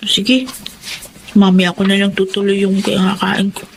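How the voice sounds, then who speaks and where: A woman's voice: mid-range voice, completely flat delivery, moderate pace, slight background noise, lightly acted. A woman playing a hardworking, devout young college student in a Filipino radio drama, speaking earnestly and politely with a Filipino accent. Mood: embarrassed.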